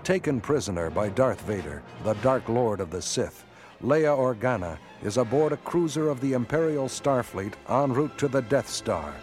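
A man as a grand storyteller opening a radio space saga. His mid-pitched voice is formal and dramatic over prominent music. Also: expressive, normal speed, a little mellow, natural voice. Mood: portentous. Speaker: narrator. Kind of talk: scripted radio drama narration.

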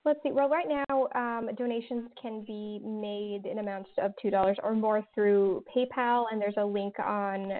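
A woman's voice: mid-range voice, steady tone, measured pace, hardly any pauses. Chatty woman, lots of "like" and "um", tinny phone line. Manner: chatty, many "like" and "um" fillers, sarcastic humor. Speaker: podcast creator and writer, guest. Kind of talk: casual interview chat.

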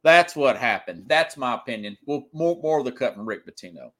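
A man's voice: medium pitch, big pitch swings, brisk, fairly bright tone. A man in his forties hosting a sports talk show, giving casual, emphatic opinions and telling anecdotes in a conversational way. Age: 40s.

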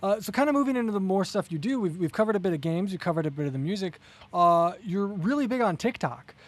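Fast-talking, upbeat male podcast host. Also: high voice, animated. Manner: upbeat, encouraging. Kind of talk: casual interview chat.

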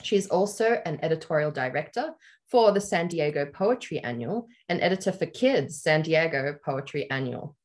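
A woman with a deep, low voice co-hosting a casual chat, talking loosely and laughing often. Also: expressive, normal speed, a little mellow. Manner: giggly, chatty, laughs often. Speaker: podcast co-host. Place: Australia.

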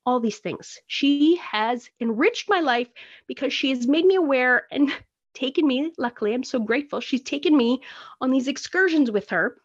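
Canadian woman, solo podcast host, chatty and self-mocking, slightly high voice, somewhat dull recording. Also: lively intonation, normal speed. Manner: rambling, self-deprecating, chatty. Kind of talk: casual solo podcast monologue. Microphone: phone recording.

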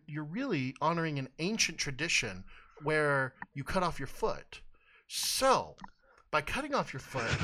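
A man with a bright, forward voice and a slightly raised pitch co-hosts a loose comedy podcast. He lays out ridiculous bits with a deadpan, mock-serious delivery. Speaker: podcast co-host. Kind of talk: casual comedic podcast chat.

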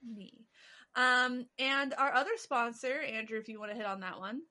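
A woman's voice: a little high, moderate pace, sharp tone. A young woman co-hosting a casual podcast, chatty and teasing her co-host. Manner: teasing, chatty, laughs easily. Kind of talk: casual chat.

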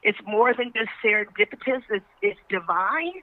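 A woman's voice: mid-range voice, moderate pace, no echo. A woman speaking earnestly and emphatically as an actress guest in a conversational interview. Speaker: stage actress, panel guest. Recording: muffled phone-like radio line.

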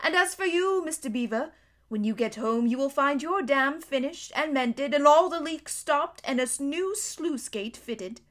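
A woman voicing Father Christmas in a storybook reading, a huge, glad old man speaking in slow, grand pronouncements. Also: high voice, animated. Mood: kindly.

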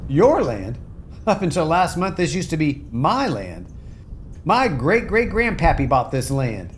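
A man acts a plainspoken American rancher for a radio drama. His fairly high voice moves up and down a lot, with folksy, nostalgic phrasing. Faint noise sits behind it. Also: huge pitch swings, a little slow. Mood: bewildered. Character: wistful male rancher, nostalgic and bewildered. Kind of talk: radio play acting.

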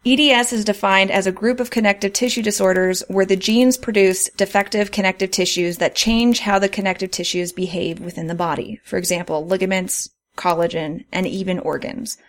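A woman's voice: medium pitch, steady tone, brisk, few pauses. A woman hosting a podcast who reads prepared explanations with care and sometimes laughs at her own slips. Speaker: podcast host.

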